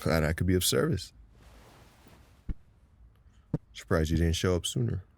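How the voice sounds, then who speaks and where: A man's voice: sits low, animated, quick pace, gravelly, slight background noise. A man acting in an audio drama as a wry, pointed former comrade, intimate and resentful. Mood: wry, low-key.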